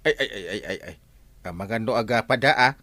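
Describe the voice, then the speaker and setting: A man with an Ilocano accent playing a nosy, excitable village buddy in a comedy radio drama. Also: on the low side, a little fast, no room sound.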